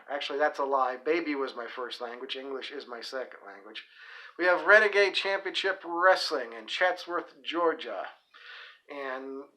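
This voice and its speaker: A man's voice: on the high side, sharp tone, low-quality recording. A man hosting a casual solo report on upcoming wrestling shows, rambling with fillers, restarts and folksy asides. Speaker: host of a wrestling events report.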